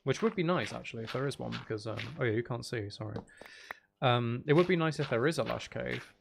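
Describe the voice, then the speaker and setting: A man's voice: mid-range voice, lively intonation, brisk, gravelly, noisy background. A man giving casual, rambling gameplay commentary, thinking aloud as he plays. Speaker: gaming video creator playing Minecraft.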